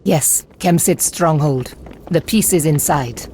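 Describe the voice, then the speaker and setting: A woman's voice: deep voice, slow, mellow, a little hiss or hum. A woman playing a resolute, commanding warrior leader in a video game cutscene, speaking firmly. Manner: firm, commanding. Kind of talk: scripted game cutscene dialogue.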